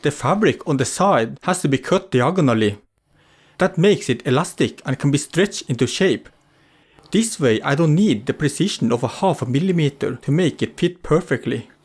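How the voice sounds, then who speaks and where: Slightly higher-pitched husky man narrating. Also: lively intonation, moderate pace, a little mellow. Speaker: craft vlogger narrating a tutorial. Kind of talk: explanatory voice-over narration.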